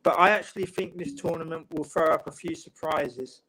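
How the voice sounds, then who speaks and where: A man from England, a football writer and guest, talking in a calm, measured way with long explanations. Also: on the high side, husky, rough recording. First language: English. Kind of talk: casual panel chat.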